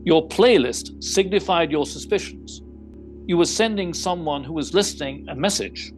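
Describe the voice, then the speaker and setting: An actor playing a composed, courteous private detective in an audio theater mystery, speaking in formal, precise, theatrical phrases. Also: male voice, a little high, moderate pace, soft background music, natural voice, low-quality recording. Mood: accusing, deductive.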